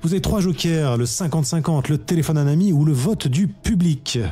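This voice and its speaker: A man hosting a live-streamed quiz in a relaxed, chatty way, often correcting himself. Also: fairly high pitch, a little fast, soft background music. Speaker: quiz show host.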